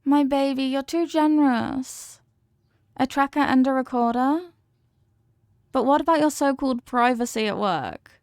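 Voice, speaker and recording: A woman's voice: sits high, a little slow, no echo. Woman acting a possessive, lovesick wife, intimate and dry. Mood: touched, delighted. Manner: coaxing, melodramatic, lingering on words. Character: possessive, obsessively loving yandere wife, clingy and intense. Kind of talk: intimate ASMR roleplay audio drama.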